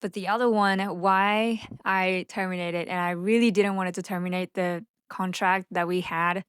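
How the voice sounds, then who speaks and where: A woman's voice: lowish voice, fairly even intonation, moderate pace, smooth, no echo, clean studio sound. A woman who speaks Japanese as her first language, chatting candidly and unscripted to camera like a vlogger, sometimes stumbling over her words. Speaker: vlogger and social media marketing agency owner. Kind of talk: unscripted casual chat to camera.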